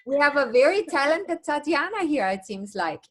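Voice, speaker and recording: A woman's voice: high voice, very animated, moderate pace. A woman hosting a casual chat show and putting quiz questions to a guest. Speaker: talk show host.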